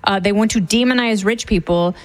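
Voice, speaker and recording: Female radio guest, mid-pitched, conversational and wry, casual back-and-forth commentary. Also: brisk. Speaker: talk show guest. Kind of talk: casual political talk radio banter.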